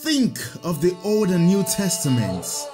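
A kindly, learned priest in an audio drama. His voice sits a little high for a man and moves through lively rises and falls. He explains things to children slowly and patiently, over faint music. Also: exaggerated voice. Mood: patient teaching.

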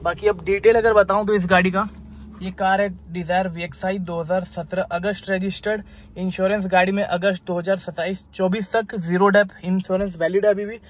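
High-voiced man, even sales patter. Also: fairly quick. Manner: persuasive sales patter mixing in English terms. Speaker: used-car dealer presenting a car. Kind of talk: unscripted sales walk-around. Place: outdoors by a roadside lot and inside a car. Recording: clear outdoor ambient and vehicle noise.

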